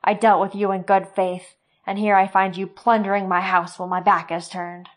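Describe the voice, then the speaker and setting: A woman's voice: on the low side, monotone, a little slow, natural voice. A woman voicing an enraged older male village butcher who snarls bitter accusations. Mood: furious.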